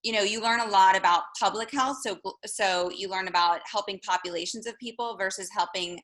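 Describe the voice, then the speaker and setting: A woman's voice: mid-range voice, a little fast, a bit bright, poor audio. A woman from Georgia introducing herself casually on a webinar, chatty with lots of "like". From Atlanta, Georgia. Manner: chatty, casual, says y'all and like.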